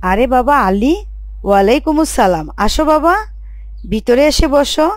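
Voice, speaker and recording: A woman's voice: fairly high pitch, animated, moderate pace, no echo, studio quality, lightly acted. A woman voicing a tender mother. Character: gentle mother welcoming her son.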